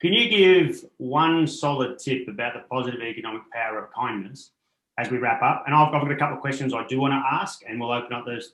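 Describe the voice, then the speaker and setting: Roomy, echoing call sound frames a man of average pitch. He moves along briskly as the host of an informal online session, handing over questions and keeping things organised. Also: fairly bright tone. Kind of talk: informal online event hosting. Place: room, on online video call.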